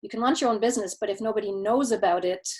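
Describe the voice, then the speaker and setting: Middle-aged woman, thoughtful and halting. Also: mid-range voice, darkish tone. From Canada, Quebec. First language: German. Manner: thoughtful, many false starts and filler words. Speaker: guest expert. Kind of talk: conversational interview answers.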